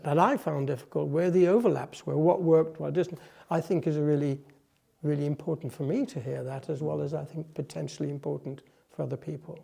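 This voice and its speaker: A man with a mellow, gravelly voice, set a little high for a man. He is a psychotherapist whose first language is French, and he talks thoughtfully about his work. He halts often, says um, and restarts his phrases as he searches for the right words. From France, Netherlands, US, England; a mixed upbringing. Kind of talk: reflective interview talk.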